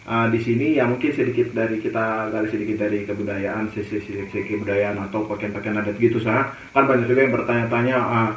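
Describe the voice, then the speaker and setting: A man's voice: on the low side, level tone, fast, few pauses. Distant, roomy-sounding eastern Indonesian man hosting. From Papua, Indonesia. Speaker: host. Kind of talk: casual interview. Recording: muffled, roomy, distant mic, noisy.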